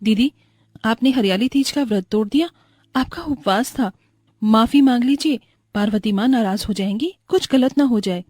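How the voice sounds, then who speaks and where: A woman's voice: fairly high pitch, moderate pace, a little mellow, dry recording, studio quality, lightly acted. Woman as a meek, devout poor young daughter-in-law. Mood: meek, pleading. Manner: meek, respectful, earnest. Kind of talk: cartoon moral story voice acting.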